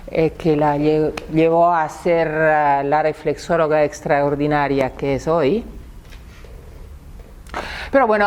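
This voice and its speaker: A woman's voice: low voice, a little mellow. A woman in her sixties, a native Italian speaker with an Italian accent, giving a public talk and often repeating words as she searches for them. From Italy.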